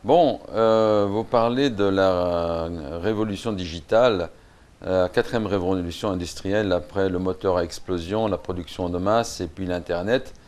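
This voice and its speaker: Brief: Belgian male expert on a TV interview, measured and hesitant, slightly low voice. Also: a little mellow. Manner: hesitant, many fillers. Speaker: guest expert.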